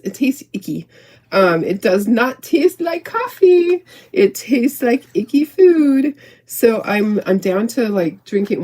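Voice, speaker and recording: A middle-aged woman with a squeaky voice, recovering from illness, demonstrating a craft project in a rambling, giggly, chatty way. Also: dark tone, slightly rough. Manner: rambling, self-interrupting, giggly. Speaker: craft show host.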